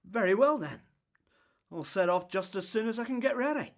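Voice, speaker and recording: Earnest prophet-to-be for biblical radio drama male actor: humble, hesitant, widely varied pitch, dry studio sound. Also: expressive, moderate pace, no echo. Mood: resolute, tender. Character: earnest, humble grown son called to be prophet. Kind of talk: radio Bible drama acting.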